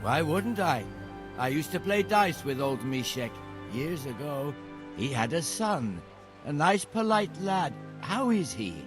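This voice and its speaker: An actor playing a crotchety, weary old record keeper in a video game, grumbling in a patronizing way. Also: male voice, a little high, big pitch swings, a little slow, music in the background. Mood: fond, softened. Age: older. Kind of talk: video game cutscene acting.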